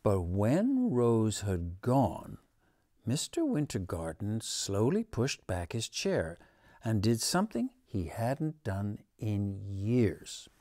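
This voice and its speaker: Unhurried, low-voiced older man reading aloud. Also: wildly swooping pitch, mellow, dry recording, studio quality. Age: 60s. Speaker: storybook reader. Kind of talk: expressive children's story narration. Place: living room at home, on a sofa.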